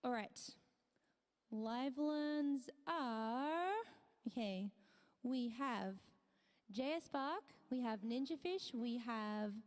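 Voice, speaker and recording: A woman streamer chatting casually with her viewers, her voice hoarse and tired, half whispering. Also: a little high, lively intonation, drawn-out words, long reverb tail. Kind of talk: casual live-stream chat.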